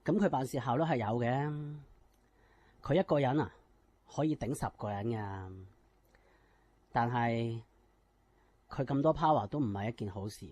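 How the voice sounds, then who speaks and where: A man acts out an aggrieved, scheming company boss in a Hong Kong Cantonese radio drama. The voice is soft-edged and husky at a middling pitch. He grumbles with self-important weight. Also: moderate pace, pauses often, lightly acted. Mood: brooding, calculating.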